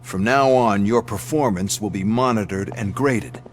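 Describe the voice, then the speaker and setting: A man's voice: on the low side, moderate pace, over music, close to an everyday voice. A man playing a smug, contemptuous corporate type in a video game, talking with sarcastic, condescending sneer. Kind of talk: video game cutscene acting.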